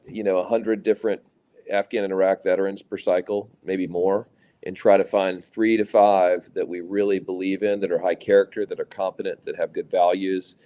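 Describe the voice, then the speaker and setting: Man, low voice, mellow tone, from Louisiana, measured interview answers, frequent ums. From Louisiana, USA. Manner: measured, many fillers and restarts. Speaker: private equity executive, guest.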